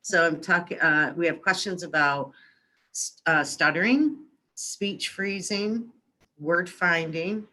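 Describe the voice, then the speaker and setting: A woman runs a webinar Q&A, gathering audience questions and rewording them for the presenter. Her voice sits low for a woman yet has a bright, forceful edge. She talks in quick bursts, rephrasing as she goes. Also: rough recording. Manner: brisk, rephrases and recaps questions. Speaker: webinar moderator. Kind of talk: moderating a Q&A webinar.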